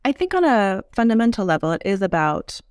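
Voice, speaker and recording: A woman's voice: mid-range voice, very animated, brisk, a little mellow, smooth, no room sound, studio quality. A woman explaining her ideas as an interview guest, articulate and conversational. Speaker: guest, urban design expert. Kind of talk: explanatory podcast interview answers.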